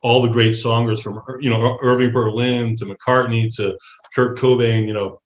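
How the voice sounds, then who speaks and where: A man's voice: medium pitch, level tone, normal speed, reverberant. A man in his fifties talking casually and reflectively as an interview guest, working through his thoughts as he goes. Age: 50s. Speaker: musician and songwriter, interview guest.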